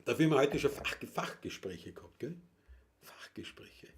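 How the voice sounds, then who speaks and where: A man's voice: mid-range voice, sing-song, normal speed. A man with a Viennese accent hosting his music livestream, reading out viewer comments in an easygoing, rambling way and chuckling between remarks. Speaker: livestream host and Wienerlied singer. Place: at home, performing alone.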